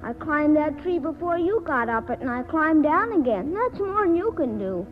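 A woman's voice: sits high, sing-song, normal speed, soft background music. A woman playing a feisty, trusting little boy with a childish, plain-spoken manner in a 1940s radio drama. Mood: defiant, boastful. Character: feisty, trusting little boy who adores his grandpa. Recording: dull vintage 1940s radio broadcast.

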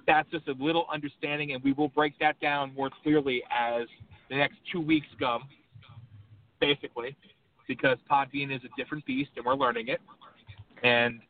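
A man hosting a call-in radio show, talking in an impassioned, rambling, emphatic way. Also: medium pitch. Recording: tinny phone-line audio with faint background hum.

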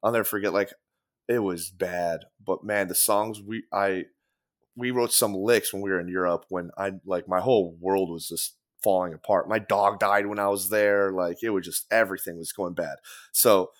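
Man with a very deep voice as a loose, rambling podcast guest who swears casually. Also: steady tone, normal speed. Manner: rambling, many fillers and restarts, casual swearing. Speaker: podcast guest, former band guitarist. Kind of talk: casual conversation.

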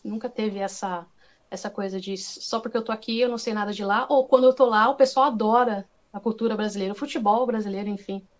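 A woman's voice: medium pitch, quick pace. A woman with a Brazilian accent speaking casually and animatedly as a podcast guest, in an informal manner. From Brazil, moved from Paraguay as a toddler. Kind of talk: casual chat.

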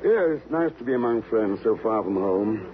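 A man's voice: on the low side, expressive, moderate pace, lightly acted. A man playing a cool, suspicious middle-aged secret agent in 1950s radio drama, speaking in clipped, probing lines. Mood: dry, probing. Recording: dull, noisy old radio broadcast.

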